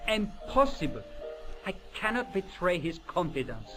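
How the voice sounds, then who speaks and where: An actor playing a stubborn, formally polite French hotel clerk in a video game, with a French accent and a dry, deferential manner. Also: male voice, high-pitched, sing-song, measured pace, over music. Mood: stiffly polite.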